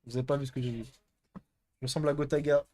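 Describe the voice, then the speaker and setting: A man's voice: mid-range voice, steady tone, very fast, mellow. A young man hosting a live stream, chatting casually and answering chat with crude, teasing jokes. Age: young adult.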